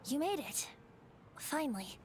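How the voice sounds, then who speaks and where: A woman's voice: sits high, very animated, a little slow. A woman voicing a youthful, boyish wind god bard in an anime video game, playful, airy and theatrical.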